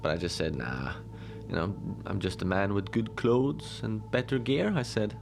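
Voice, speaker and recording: A man playing a deadpan lone adventurer who tells his odd tales slowly and conversationally, rambling with halting asides, in a cozy horror audio drama. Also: fairly low pitch, vocal fry, background noise. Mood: amiable.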